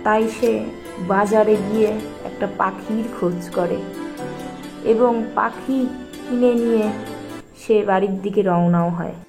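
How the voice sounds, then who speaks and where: A woman's voice: medium pitch, steady tone, a little slow, long pauses. A woman reading scripted storybook narration for a cartoon tale, with music playing behind her.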